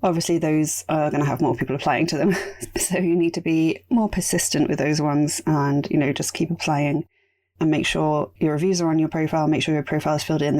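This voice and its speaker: A woman hosting a casual solo podcast, chatty and rambling, her voice going raspy. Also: sits low, darkish tone. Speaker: podcast host.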